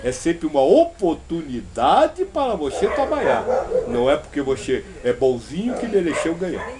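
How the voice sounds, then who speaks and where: High-pitched, animated Brazilian man teaching emphatically. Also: moderate pace, heavy background noise. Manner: emphatic, repeats phrases, uses rhetorical questions. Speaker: spiritual teacher leading a group discussion. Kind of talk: informal spiritual talk answering questions.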